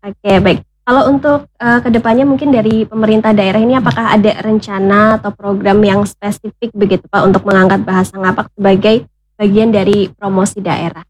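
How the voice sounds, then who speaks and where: A woman from hosting a radio talk show, with a polite, measured way of speaking to her listeners. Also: mid-range voice, steady tone, a little mellow, a little hiss or hum, poor audio. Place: radio studio.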